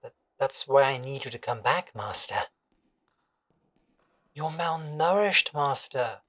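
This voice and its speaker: A man's voice: mid-range voice, animated, slow, a bit bright. A man playing an obsessive, possessive servant in a scripted roleplay audio drama, coaxing and deferential yet controlling. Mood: anxious pleading.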